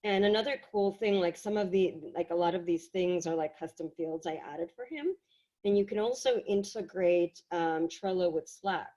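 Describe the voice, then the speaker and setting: A woman giving a casual software tutorial, explaining in a halting, conversational way, slightly hoarse from a cold. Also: fairly low pitch. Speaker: workshop presenter. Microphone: video call.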